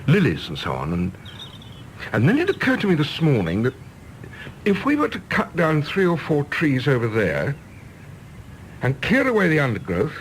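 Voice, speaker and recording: A man's voice: on the high side, wildly swooping pitch, normal speed, long pauses, a little hiss or hum, rough recording. An actor playing an earnest, wistful middle-aged English man with idealistic hopes, in a radio play. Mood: eager, hopeful.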